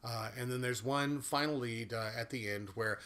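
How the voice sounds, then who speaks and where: A man's voice: mid-range voice, moderate pace. A man giving a casual guitar and singing lesson with a big voice, explaining at an easy pace with frequent "uh" fillers. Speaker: guitar and voice teacher. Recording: guitar playing loudly behind the voice.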